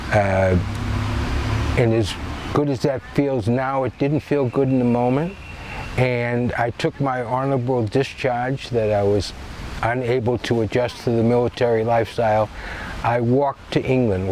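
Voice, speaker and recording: A man's voice: mid-range voice, steady tone, a little mellow, slight background noise, poor audio. Older man with a Boston accent. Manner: conversational, wry, with many "uh" pauses. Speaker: storyteller. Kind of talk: personal storytelling.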